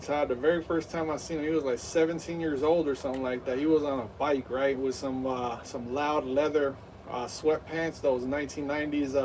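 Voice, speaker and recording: A man's voice: on the high side, a little mellow, a little hiss or hum. Man, thirties, game streamer, hyped play-by-play, lots of fillers, distant mic. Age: 30s. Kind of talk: live game stream commentary.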